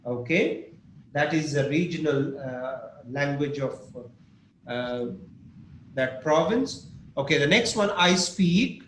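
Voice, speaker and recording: Man, English teacher, slow and patient, slightly high voice, echoey room mic. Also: lively intonation. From Pakistan. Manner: slow, patient, explains with examples and asks students questions. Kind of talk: online class lesson. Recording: echoey room with faint noise.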